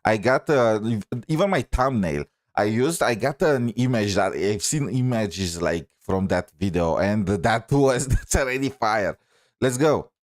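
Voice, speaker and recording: Low-voiced man casually streaming a game. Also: lively intonation, unhurried, no room sound, studio quality. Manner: informal, hesitant, thinking aloud. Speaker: streamer reacting to game content. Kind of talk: casual gaming commentary. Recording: music playing behind the voice.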